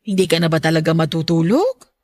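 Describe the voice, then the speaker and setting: A woman playing a caring, worried middle-aged mother in a Filipino radio drama, gentle and fretful as she fusses over her child. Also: low voice, expressive, quick pace.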